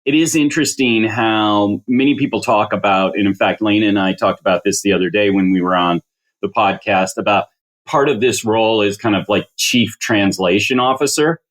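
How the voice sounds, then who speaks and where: A man's voice: lowish voice, moderate pace, a bit bright. A man hosting a podcast panel conversation, reflective and enthusiastic as he hands the floor to guests. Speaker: podcast host.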